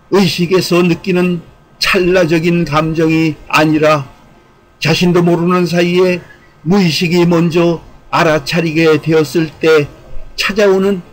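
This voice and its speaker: Higher-pitched man reading formal commentary. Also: low-quality recording. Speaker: online channel host and commentator. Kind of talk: scripted commentary, read aloud in a formal essay style.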